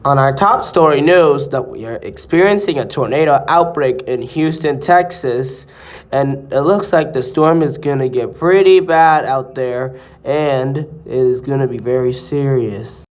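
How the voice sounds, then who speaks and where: A man presents scripted breaking news as an anchor. His voice sits at an average pitch for a man. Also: unhurried.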